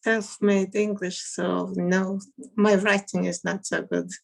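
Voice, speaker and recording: Woman, English learned later in life; slow, short halting replies. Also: medium pitch, level tone, vocal fry. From Mongolia. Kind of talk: casual video-call conversation.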